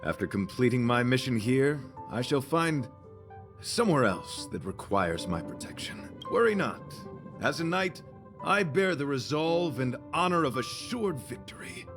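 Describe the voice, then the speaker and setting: A man's voice: medium pitch, very animated, a little slow. A man voicing a noble, devout young knight in a video game, speaking in formal, flowery, courtly phrases over music. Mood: gallant, resolute. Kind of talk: theatrical video game voice acting.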